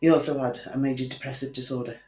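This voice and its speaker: A man's voice: mid-range voice, fairly even intonation, quick pace, warm tone. A man hosting a true crime channel, telling a case in scripted narration with wry conversational asides to the viewer. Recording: echoey room.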